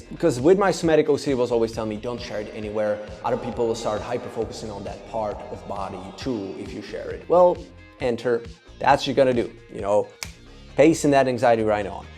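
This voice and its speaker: An enthusiastic man with a Czech accent who talks straight to his viewers and rambles in bursts. His voice is mellow and relaxed. Soft music plays faintly behind him. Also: mid-range voice. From Czech Republic. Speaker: psychologist and YouTuber. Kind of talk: casual talk to camera.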